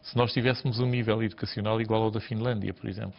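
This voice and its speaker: A man with a fairly low voice and a European Portuguese accent puts questions as a news interviewer. He builds his points haltingly, repeating words, and the sound is slightly muffled. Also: fairly even intonation, vocal fry, a little hiss or hum.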